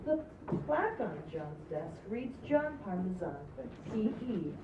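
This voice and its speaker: Woman reading out script directions, kinda muffled and far off, loud background noise. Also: mid-range voice, big pitch swings, measured pace. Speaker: stage-direction reader at a table read. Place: live table read in a roomy space. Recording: roomy, distant, muffled, very noisy live recording.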